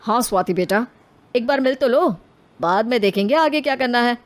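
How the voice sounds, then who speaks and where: A woman voicing a conservative, measured middle-aged family man in a cartoon story. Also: fairly high pitch, animated, close to an everyday voice. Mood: persuading.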